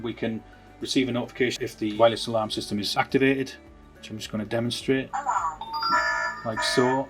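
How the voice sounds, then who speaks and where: Male tech tutorial presenter, informal and instructional, lowish pitch, flat delivery. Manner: informal step-by-step explaining. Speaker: technical presenter. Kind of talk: product demonstration tutorial.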